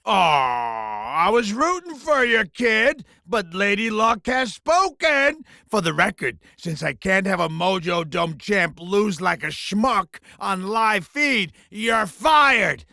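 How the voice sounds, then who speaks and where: A man's voice: high-pitched, very animated, takes it slowly, gravelly, few pauses, sharp tone, no room sound, studio quality, exaggerated voice. A man voicing a bombastic, gleefully cruel alien game-show host villain in a video game, with a hammy, grandiose announcing delivery. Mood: gloating showman. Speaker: voice actor.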